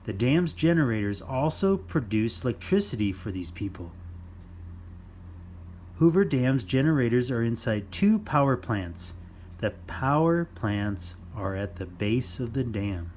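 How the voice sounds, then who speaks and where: A man reading a children's nonfiction book aloud in simple, deliberate phrases for young listeners. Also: mid-range voice, sing-song, a little mellow, slight background noise. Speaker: children's storytime reader.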